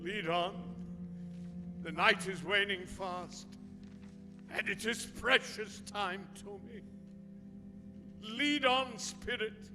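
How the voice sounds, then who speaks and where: A man acts out a fearful old miser in a radio play, pleading solemnly in a high voice. The words come very slowly. Music plays behind him in a reverberant hall. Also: fairly even intonation. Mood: resolute, urgent.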